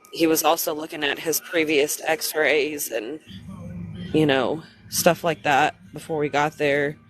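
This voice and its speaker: A man on a phone live stream, crying and stuffy from congestion, speaking haltingly through grief. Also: fairly high pitch, a bit bright, poor audio. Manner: grieving, halting, tearful. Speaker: livestream host. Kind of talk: emotional live stream talk.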